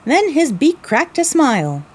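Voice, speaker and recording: A woman's voice: high-pitched, big pitch swings, a little slow, faint music behind. A woman narrating a scripted first-person story.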